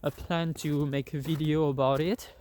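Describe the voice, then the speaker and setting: A man's voice: a little high, flat delivery, moderate pace, a little mellow, a little hiss or hum. A young man with a French accent gives a casual, rambling review of an app, full of fillers and restarts, speaking outdoors into a phone. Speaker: vlogger. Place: outdoors in cold weather. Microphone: phone held by the speaker.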